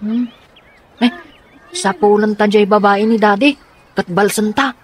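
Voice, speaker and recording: A woman's voice: medium pitch, steady tone, unhurried, close to an everyday voice. Determined young daughter for radio drama, played by a woman: earnest, insistent, slight noise behind. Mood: troubled. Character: scheming, determined young daughter troubled by parents.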